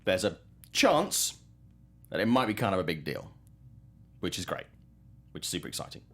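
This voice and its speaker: A man's voice: low voice, sing-song, moderate pace. A man hosting a film news show in a casual, chatty and enthusiastic way.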